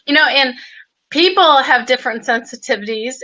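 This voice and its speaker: A woman's voice: high voice, normal speed, bright, forceful tone, dull audio. A woman host with a young-sounding voice, chatty and affirming, laughing easily. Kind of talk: casual conversational interview.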